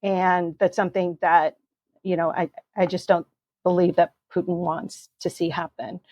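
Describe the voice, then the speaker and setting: A woman author from the United States talks in a measured, thoughtful way, often pausing with ums. Her voice sits fairly low. The sound is dry and slightly muffled, like a video call. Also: flat delivery, darkish tone, no echo. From USA. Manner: measured, thoughtful, with frequent ums and you-knows. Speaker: author guest. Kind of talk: interview, explaining history.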